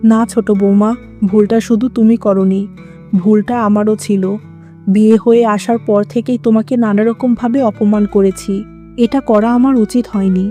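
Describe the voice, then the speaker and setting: A woman's voice: mid-range voice, steady tone, normal speed, warm tone, faint music behind, close to an everyday voice. A woman voicing a stern older mother-in-law with a firm, admonishing manner in a cartoon. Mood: regretful. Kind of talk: Bengali moral-story cartoon dubbing.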